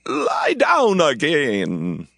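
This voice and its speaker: Creaky old priest in a bible drama, weary and fatherly, high wavering pitch that swings around. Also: wildly swooping pitch, drawn-out words, fairly bright tone, no echo, full character voice. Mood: gentle, weary.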